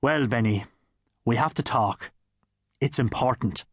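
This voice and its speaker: A man voicing a calm, plain-spoken middle-aged father in an audiobook. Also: medium pitch, measured pace, husky, no echo, clean studio sound.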